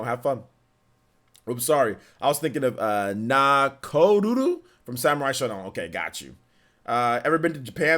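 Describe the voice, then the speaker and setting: A young man chatting on his live stream, reading viewer questions aloud and answering them off the cuff. His voice sits at an ordinary middle pitch, and he loops back over his own words with fillers and restarts. It sounds relaxed and unscripted. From California. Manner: rambling, repeats himself, many restarts and fillers.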